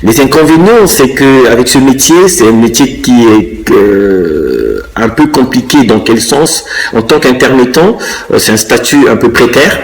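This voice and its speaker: A man in his fifties from Congo, answering interview questions thoughtfully and pausing often to find his words. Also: mid-range voice, animated, low-quality recording. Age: 50s. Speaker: interviewee, dancer and choreographer.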